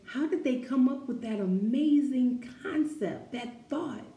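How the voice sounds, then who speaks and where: A woman reading a picture book aloud to children, expressive and deliberate, with emphatic phrasing and encouraging asides. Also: sits high. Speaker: children's story-time reader. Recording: echoey room with faint background noise.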